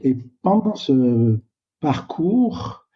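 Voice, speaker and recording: An older man, a retired doctor, answering interview questions in a slow, reflective way with many hesitations. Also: mid-range voice, very animated. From Canada. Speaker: guest, doctor. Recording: dull, lo-fi sound.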